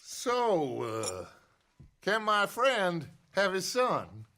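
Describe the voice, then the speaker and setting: A middle-aged American gang leader from a western game. He speaks like a silver-tongued orator, high for a man and full of swoops, taking his time over every phrase. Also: wildly swooping pitch, extremely slow, sharp tone, no echo, exaggerated voice. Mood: smooth. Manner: theatrical, oratorical. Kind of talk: dramatic video game acting.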